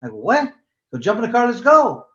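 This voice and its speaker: A man's voice: very high voice, huge pitch swings, fairly quick, fairly bright tone. An older man, a former boxer from California, telling stories in a loose, rambling way full of fillers. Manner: laid-back, rambling, heavy "you know" fillers. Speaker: guest, former professional boxer. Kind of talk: casual storytelling interview. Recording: echoey, dull lo-fi sound.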